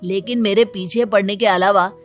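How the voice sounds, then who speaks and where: A woman's voice: medium pitch, steady tone, moderate pace, faint music behind, close to an everyday voice. A woman voicing a lazy, spoiled teenage son with a whining, flippant manner, in a cartoon story. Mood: demanding, flippant. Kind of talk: Hindi cartoon moral-story acting.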